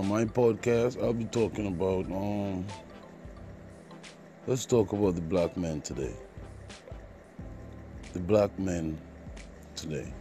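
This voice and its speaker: A man's voice: deep voice, mellow, slight background noise. A man from Trinidad and Tobago with a Caribbean accent, hosting an informal solo podcast in an earnest, preachy way and repeating points for emphasis. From Trinidad and Tobago, partly Jamaica. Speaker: podcast host.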